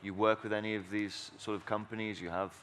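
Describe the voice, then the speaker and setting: A man's voice: fairly low pitch, little pitch movement, fairly quick, fairly bright tone, a little hiss or hum. A man moderating a conference panel, asking long, rambling questions full of fillers.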